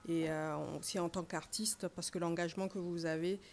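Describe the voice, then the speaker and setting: A woman journalist from Congo politely asking a question at a press conference, pausing often with hesitant fillers as she speaks into a standing microphone. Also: on the low side, little pitch movement, a little mellow, a little hiss or hum.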